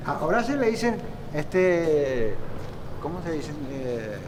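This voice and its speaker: An older man from Paraguay, telling old stories in a rambling, halting way during a casual interview. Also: fairly high pitch, big pitch swings, noisy background. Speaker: local guide and interviewee.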